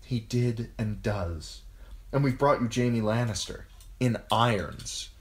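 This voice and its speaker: A man's voice: medium pitch, slow, voice pushed up. A man voicing an anguished noblewoman in an audiobook, a stern and hurt grown daughter with an insistent manner. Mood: reassuring. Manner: hard, insistent.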